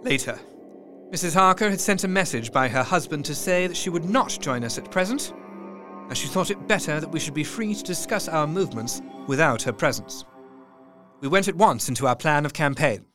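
A man's voice: fairly high pitch, animated, fairly quick, over music, natural voice. An actor playing an earnest Victorian doctor, narrating his diary in thoughtful, formal speech. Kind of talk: audio drama diary narration.

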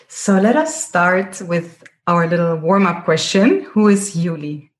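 A woman hosting a podcast, introducing her guest and asking questions in a measured way. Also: fairly low pitch, expressive, dry recording. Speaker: podcast host. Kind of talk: interview hosting.

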